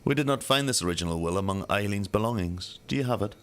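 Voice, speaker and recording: Man playing a low-voiced, probing police detective. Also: animated, lightly acted. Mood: pressing. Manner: measured, formal questioning. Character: methodical male police detective, probing and matter-of-fact. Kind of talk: amateur radio drama acting.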